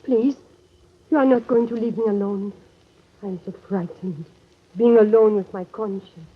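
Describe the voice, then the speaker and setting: Breathy timid young lady actress playing her, anxious and formal, radio melodrama, old dull recording. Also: mid-range voice, fairly even intonation, moderate pace, lightly acted. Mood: distressed, pleading. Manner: pleading, formal speech without contractions. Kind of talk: old-time radio drama acting.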